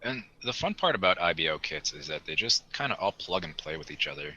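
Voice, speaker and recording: A man chats casually on a hobby podcast. His voice is fairly low but very bright and forceful, with animated intonation. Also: fairly quick, highs cut off. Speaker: podcast panelist.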